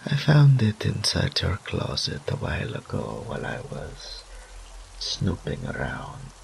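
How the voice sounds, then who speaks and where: A man's voice: deep voice, huge pitch swings, a little slow, creaky, slight background noise, full character voice. A man voicing a teasing, smug and doting incubus demon in roleplay audio, with a theatrical purring drawl and whispered asides. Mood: flirtatious.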